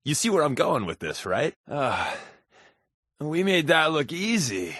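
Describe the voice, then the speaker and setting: A sardonic American secret agent, a sly fibber from a video game. His voice is slightly rough and husky, recorded very dry, and his pitch moves around a lot as he tosses off casual, deadpan quips. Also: measured pace, no room sound, exaggerated voice. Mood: wry, joking. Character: wisecracking, sly adult male undercover agent, habitual fibber. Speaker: companion character in a video game.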